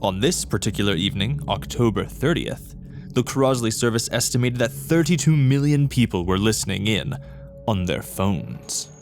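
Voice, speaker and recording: A solemn male narrator, played by an actor, tells an invasion tale for a radio drama. He is measured and portentous, stretching key words. Music plays clearly underneath him. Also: fairly low pitch, lively intonation. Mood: solemn, ominous.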